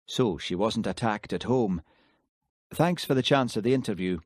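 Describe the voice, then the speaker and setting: A shrewd Highland constable, dry and plain-spoken, low in pitch, as a male narrator voices him. The sound is close and dry. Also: lively intonation, normal speed, a little mellow, husky, no echo.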